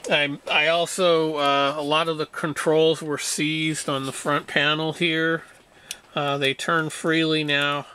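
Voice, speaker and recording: A man giving an informal hands-on demonstration as a hobbyist restorer, explaining in a halting, matter-of-fact way. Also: a little high, bright, forceful tone, slight background noise.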